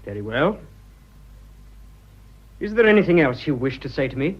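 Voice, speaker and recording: An actor playing a polite, frank young English lover in a British radio drama, restrained, courteous and matter-of-fact. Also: male voice, medium pitch, sing-song, normal speed, slightly rough, muffled recording. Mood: cool, contrite.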